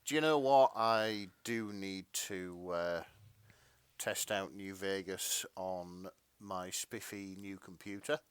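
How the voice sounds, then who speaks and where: A man's voice: low voice, slow, clear, resonant tone, no echo. A man co-hosting a podcast in casual banter, wry and wordy, with hesitations as he goes. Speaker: podcast co-host.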